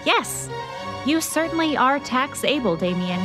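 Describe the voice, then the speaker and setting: A woman's voice: medium pitch, lively intonation, moderate pace, over music, close to an everyday voice. A woman playing a plucky, self-assured investigative reporter in a scripted radio drama, inquisitive and theatrical. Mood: questioning.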